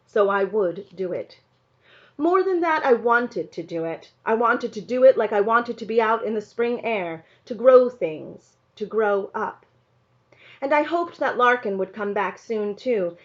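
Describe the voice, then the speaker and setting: A woman reading a novel aloud in an expressive storybook narration style. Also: medium pitch, lo-fi sound.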